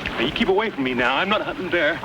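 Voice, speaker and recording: A man acts out a wary frontier adventurer for old-time radio. He is plainspoken and exclamatory, pitched rather high with wide swings, amid loud background noise. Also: animated, fast talker, lightly acted. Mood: alarmed warning.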